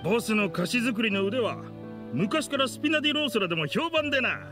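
A man playing an easygoing, loyal gang henchman in an anime game, with rough, frank, casual speech. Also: very high voice, animated, fairly quick. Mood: frank, teasing. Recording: clear background music behind voice.

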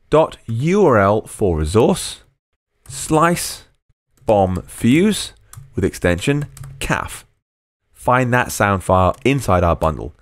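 A man's voice: on the low side, no echo, studio quality. A man narrating a screencast coding tutorial as a programming tutor, explaining step by step and dictating code word by word.

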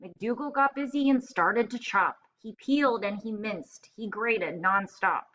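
A woman's voice: mid-range voice, measured pace, fairly bright tone. A young woman teacher talking casually and directly to young children as she does a read-aloud. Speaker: elementary school teacher. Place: indoor room, webcam screencast. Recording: slightly dull webcam audio.

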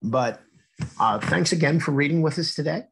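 A man's voice: a little high, moderate pace, vocal fry, slightly rough, poor audio. A man reading a children's picture book aloud expressively, addressing young listeners with questions and asides. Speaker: read-aloud storyteller.

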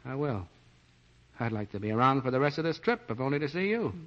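A man's voice: medium pitch, big pitch swings, fast, natural voice. Man playing a weary American cop, muffled recording. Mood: wistful, smitten. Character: weary, plain-spoken policeman, awkwardly smitten. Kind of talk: 1940s radio acting. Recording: dull old 1940s radio recording.

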